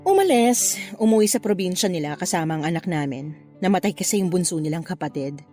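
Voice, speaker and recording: A woman's voice: on the low side, expressive, quick pace, mellow, faint music behind, lightly acted. An actress playing a flirtatious married woman in her forties in a radio drama, with a coy, teasing manner. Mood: playful, eager. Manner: coy, teasing, breaks into giggles. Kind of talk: Tagalog radio drama acting.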